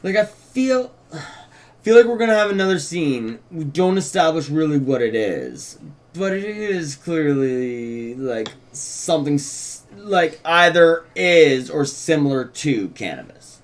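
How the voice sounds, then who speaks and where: A man's voice: on the high side, big pitch swings, measured pace. A man co-hosting a casual discussion of a script, thinking aloud in a hesitant, conversational way. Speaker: podcast co-host and co-writer.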